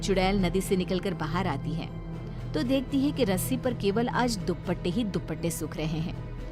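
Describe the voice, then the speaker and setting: A woman's voice: fairly low pitch, lively intonation, moderate pace, over music. A woman storyteller reading a scripted tale as narrator for a children's story.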